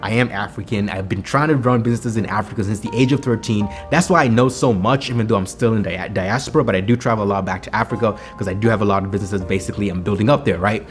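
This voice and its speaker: A man's voice: on the low side, fast talker, few pauses, faint music behind. A man with a Kenyan accent, vlogging about business with energy, repeating himself and asking "right?" to his viewers. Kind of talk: motivational business vlog talk. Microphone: camera mic on a home video set.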